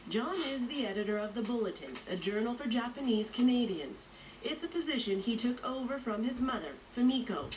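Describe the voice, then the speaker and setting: Higher-voiced woman narrating a news feature. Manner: measured, even delivery. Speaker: reporter narrator. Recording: distant voice with noticeable noise.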